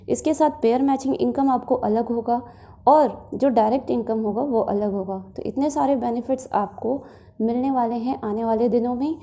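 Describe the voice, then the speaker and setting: A woman with a fairly high, dark-toned, relaxed voice narrates as a channel host. She explains updates to viewers in a reassuring way, slipping English words into her sentences.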